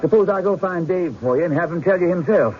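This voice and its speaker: An actor playing an earnest, hard-up gambler with a plain folksy way of talking in an old-time radio drama. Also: male voice, high voice, expressive, quick pace. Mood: pleading, defensive. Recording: dull lo-fi radio recording with music.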